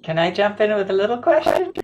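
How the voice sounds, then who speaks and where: A woman's voice: mid-range voice, sing-song, fast talker, darkish tone. A woman from South Africa hosting a podcast, asking questions hesitantly with many fillers. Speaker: podcast host. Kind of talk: interview questions.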